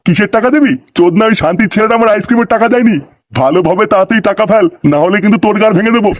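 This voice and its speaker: A man doing a high-pitched, brash Bengali cartoon bully. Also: rapid-fire, dry recording, close to an everyday voice. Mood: menacing. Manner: brash, threatening. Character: hot-headed foul-mouthed Bengali neighbourhood club bully. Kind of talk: comic cartoon dubbing.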